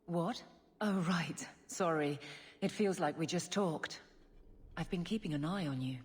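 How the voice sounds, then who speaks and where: A woman's voice: lowish voice, unhurried, a little mellow, lightly acted. An actress voicing a blunt, loyal woman guard and former soldier in a video game, plain-spoken and dry. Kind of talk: video game character dialogue.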